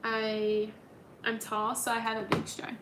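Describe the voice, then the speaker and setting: A woman's voice: a little high, completely flat delivery, a little slow, noisy background. A woman in her twenties hosting a casual livestream, chatting and answering viewer comments with lots of ums. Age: 20s. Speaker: livestream host.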